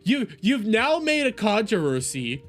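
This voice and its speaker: A man's voice: sits high, sing-song, measured pace, a bit bright, faint music behind. A man hosting a reaction video, giving heated, casual opinion commentary in an argumentative, exasperated and mocking way, repeating phrases for emphasis.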